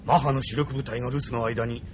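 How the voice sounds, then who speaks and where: A man's voice: mid-range voice, steady tone, fairly quick, slight background noise, lightly acted. A man playing a cautious, deferential senior admiral advising his leader in a Japanese audio drama, with formal old-fashioned phrasing. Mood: shrewd.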